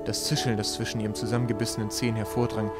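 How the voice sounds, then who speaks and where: A man's voice: on the low side, flat delivery, very fast, over loud music. A man reading a storybook aloud as scripted narration. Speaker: audiobook reader.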